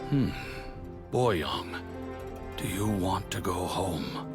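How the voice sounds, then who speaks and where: An actor playing a dying, confused warrior guardian in a video game, speaking haltingly and solemnly. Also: male voice, deep voice, sing-song, exaggerated voice. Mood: weary, confused. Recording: loud music under the voice.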